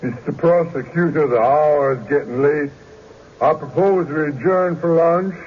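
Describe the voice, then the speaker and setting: An actor playing a ceremonious frontier judge, pompous and measured, in a radio drama. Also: male voice, on the high side, lightly acted. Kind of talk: 1970s radio drama acting. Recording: muffled, noisy old radio broadcast.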